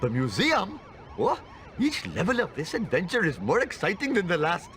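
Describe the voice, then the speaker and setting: Cartoon game shopkeeper, earnest Indian man: high, very animated, formally polite, easily flustered. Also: normal speed, noisy background. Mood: indignant. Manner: formal, stilted, exclamatory phrasing. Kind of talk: video game cartoon cutscene acting.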